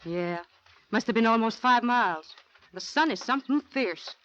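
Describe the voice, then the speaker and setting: An actress playing a bold, self-assured young housekeeper in 1940s radio drama style. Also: female voice, fairly high pitch, animated, moderate pace, a bit bright. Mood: self-assured, familiar. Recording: muffled, faintly noisy 1940s radio recording.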